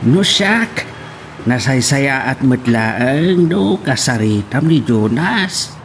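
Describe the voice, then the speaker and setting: A man playing a gentle father counselling his child in an Ilocano drama. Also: medium pitch, expressive, slow, a little hiss or hum. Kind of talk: Ilocano radio-style drama acting.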